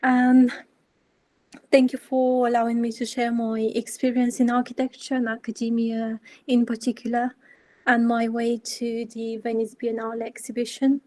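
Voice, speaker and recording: A young woman in her twenties from Bulgaria presenting her work in an online talk, measured, with frequent ums. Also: a little high, completely flat delivery, warm tone, dry recording. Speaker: architecture student speaker.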